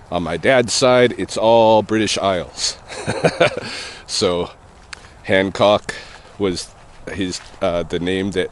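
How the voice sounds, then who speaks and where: Low-voiced man rambling on a walk. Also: a little hiss or hum. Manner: rambling, thinking aloud with many pauses and soft chuckles. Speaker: vlogger answering a viewer question. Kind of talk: casual walking vlog. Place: outdoors on an evening walk near traffic.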